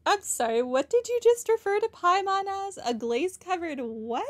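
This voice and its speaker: Animated woman doing game commentary. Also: extremely high pitch, moderate pace. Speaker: game streamer. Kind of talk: casual playthrough commentary. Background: game music.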